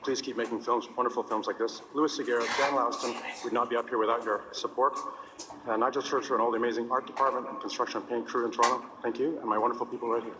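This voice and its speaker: A man giving an award acceptance speech, rushing through a list of thanks. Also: mid-range voice, fairly even intonation. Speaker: award winner. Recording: distant broadcast in a large reverberant hall, noisy.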